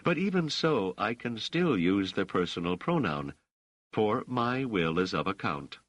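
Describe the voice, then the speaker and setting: A man acts out a self-assured woman ruler of middle age in a novel reading. The pitch is lifted well above a usual male voice and moves expressively. The manner is gracious, poised and used to command. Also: big pitch swings, fairly bright tone, dry recording, full character voice. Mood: proud, assured. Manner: poised, formal, measured phrasing.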